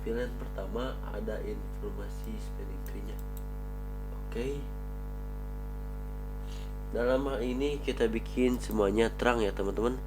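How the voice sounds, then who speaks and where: A man's voice: fairly low pitch, steady tone, measured pace, pauses often, over music, poor audio. A man with a cold explaining network switch configuration in a screen-recorded tutorial, talking viewers through each command as he types. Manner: addresses viewers as friends, talks while typing commands. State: has a cold. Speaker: networking instructor.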